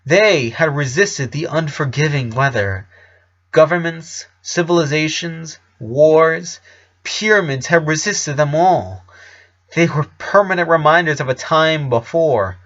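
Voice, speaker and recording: A man reading scripted fiction aloud as an expressive narrator. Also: on the high side, measured pace, lo-fi sound.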